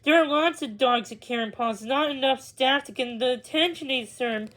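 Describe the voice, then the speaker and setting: Woman reading as an earnest high-voiced young girl. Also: normal speed, fairly bright tone. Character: earnest, soft-hearted young girl who loves dogs.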